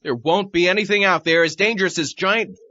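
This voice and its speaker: Man playing a skittish, higher-voiced coward. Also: brisk, pushed and bright, lo-fi sound. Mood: protesting. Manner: nervous, protesting. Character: cowardly, skittish male reluctant lookout. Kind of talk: comic audio drama acting.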